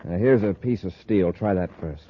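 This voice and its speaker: An actor playing a steady, cautious space patrol commander, authoritative and clipped, in 1950s radio drama style. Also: male voice, low voice, moderate pace, a little hiss or hum, lightly acted. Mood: businesslike. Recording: dull lo-fi vintage radio recording.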